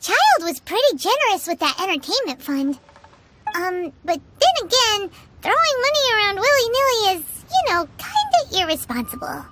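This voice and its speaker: A woman's voice: very high voice, big pitch swings, moderate pace, very noisy. A woman voicing a cheeky, bossy little companion sprite in a video game, chatty and exaggerated. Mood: scheming. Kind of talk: scripted video game character acting. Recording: music behind the voice. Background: game music.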